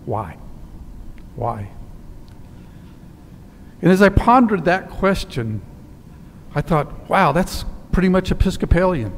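A man in his sixties preaching a sermon, telling a story and dropping to a quiet, slow emphasis on key words, standing in a church sanctuary on a headset mic. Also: high-pitched, very animated, a little hiss or hum. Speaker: priest. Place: church sanctuary in front of altar. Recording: long church reverb with faint ambience.

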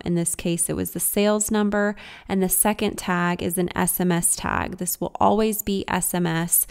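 A woman walks viewers through software in an informal, explanatory style. Her voice sits a little low and has a smooth, clear tone with noticeable vocal fry. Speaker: software tutorial presenter. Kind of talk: casual scripted screen-share walkthrough.

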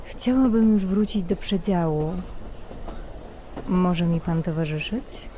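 Casting: actress as seductive, courteous female traveller for an audio story, soft dark tone, slightly low. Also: slow pace, slight background noise. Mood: flirtatious. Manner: coquettish, polite. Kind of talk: dramatised audio story dialogue.

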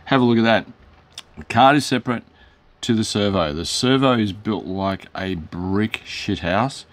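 Hoarse aussie guy, kinda low voice, rambly with lots of ums, showing off gear at his desk. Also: unhurried. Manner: rambling, many fillers, self-corrections. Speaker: hobby shop owner. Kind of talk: casual product demo. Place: hobby shop, shelves behind.

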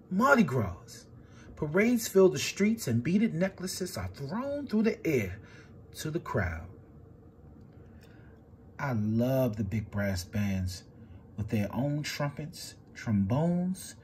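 A man reading a picture book aloud with expressive, swinging delivery. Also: slight background noise. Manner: expressive storytelling with big swings in pitch and loudness.

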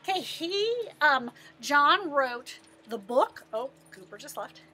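Animated, high-voiced woman reading to children. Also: takes it slowly. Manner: expressive, asks the listeners questions. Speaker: teacher reading aloud to pupils. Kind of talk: animated children's picture-book read-aloud.

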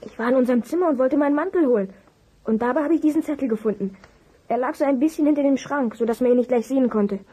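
An inquisitive young amateur sleuth in an audio drama, sceptical and argumentative. The voice sits high, with a soft tone and a distinctly husky grain. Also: female voice, fast talker. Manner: opinionated, argumentative. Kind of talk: German audio drama acting.

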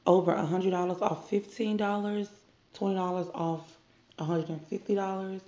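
A woman's voice: mid-range voice, fairly even intonation, normal speed, mellow. Stuffy-nosed woman, chatty unboxing. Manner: chatty, addresses viewers as "you guys". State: stuffy nose from a cold. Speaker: beauty vlogger. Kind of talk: casual product unboxing. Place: indoor room. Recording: muffled, echoey room.

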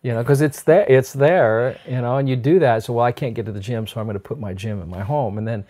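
A man's voice: mid-range voice, fast, dark tone. A middle-aged American man giving conversational health advice in a folksy, explanatory way. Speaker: health doctor and program host. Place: indoors on a sofa in a book-lined room.

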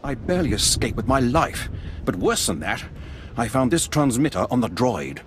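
An actor playing a stern, indignant older military veteran in a video game. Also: male voice, mid-range voice, big pitch swings, normal speed, background noise, lightly acted. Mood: urgent, indignant. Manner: stern, grumbling, earnest. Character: indignant veteran male Imperial officer, older, disgruntled, grave. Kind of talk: video game cutscene acting.

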